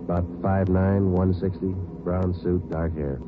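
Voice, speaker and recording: An actor playing a terse, steady police detective sergeant who narrates the case in a clipped, matter-of-fact 1950s radio drama style. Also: male voice, low-pitched, measured pace, over music. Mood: businesslike. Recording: tinny old radio broadcast with hiss.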